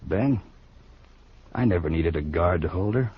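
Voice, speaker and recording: An actor playing a smug, needling young cowboy rival in an old western radio drama. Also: male voice, sits low, expressive, measured pace, slightly rough, dry recording, full character voice. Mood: smug, taunting. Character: cocky, taunting young half-wild cowboy rival. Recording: dull old radio recording, faint music.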